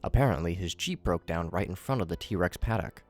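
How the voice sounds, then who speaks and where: A man's voice: low voice, expressive, a little fast, faint music behind. A man narrating a scripted recap with commentary, adding wry, joking asides.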